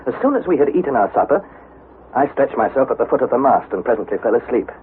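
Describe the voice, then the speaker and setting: An actor playing an earnest young nephew, narrating an expedition with measured, dramatic storytelling in a 1960s radio drama style. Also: male voice, medium pitch, big pitch swings, close to an everyday voice. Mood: measured logging. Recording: narrow-band, slightly noisy vintage radio recording.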